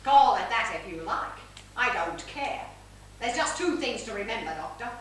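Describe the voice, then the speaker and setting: A woman's voice: fairly high pitch, expressive, lightly acted. Woman acting imperious wealthy widow in echoing theatre. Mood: cold, commanding. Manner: domineering, theatrical, deliberate. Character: imperious wealthy older widow, commanding and ruthless mother. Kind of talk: stage play acting. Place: small theatre stage. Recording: very echoey theatre, distant mic, noisy.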